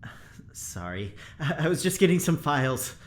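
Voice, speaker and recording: A man's voice: on the high side, big pitch swings, normal speed. An actor playing a wry, concerned man who monitors a colleague from outside in an audio drama. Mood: distracted. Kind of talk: naturalistic audio drama acting.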